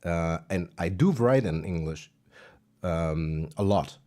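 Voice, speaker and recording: A man with a German accent talks through his livestream in a loose, rambling way. His voice sits fairly low, and he often pauses on fillers and pokes fun at himself. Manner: relaxed, self-deprecating, rambling with fillers. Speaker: livestream musician host. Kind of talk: casual livestream chat.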